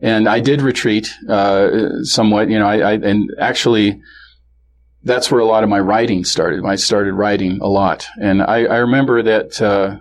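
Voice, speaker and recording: A man being interviewed, recalling childhood experiences candidly, halting with many fillers and restarts. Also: lowish voice, fairly even intonation. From grew up bilingual in a Norwegian family. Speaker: interview guest. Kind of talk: candid personal recollection.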